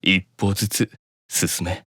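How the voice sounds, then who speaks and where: A man voicing a composed, commanding demon from an anime game, slow and deliberate, stern yet seductive. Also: low voice, steady tone, pushed and bright, no room sound, clean studio sound, pitched below the performer's own voice, exaggerated voice. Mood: stern encouragement.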